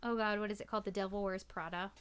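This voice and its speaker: A woman's voice: medium pitch, steady tone, rapid-fire, smooth. A woman chatting casually as a podcast guest, telling anecdotes in a chatty, storytelling way.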